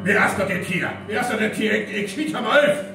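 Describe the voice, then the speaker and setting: A man's voice: high voice, a little fast, over music. An actor playing a blustering, questioning man with a North Frisian accent in a live radio play. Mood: indignant. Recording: very echoey live stage recording, distant mic.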